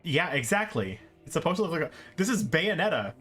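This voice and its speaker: A man's voice: on the high side, sing-song, brisk, fairly bright tone. A man streaming a game with casual, explanatory commentary and exasperated asides. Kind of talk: casual live gameplay commentary. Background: game music.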